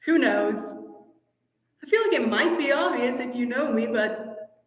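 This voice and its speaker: A woman's voice: sits high, lively intonation, measured pace. A woman hosting a casual live fan Q&A, talking in a gushing, enthusiastic way. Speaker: host of a fan channel. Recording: echoey room with long reverb.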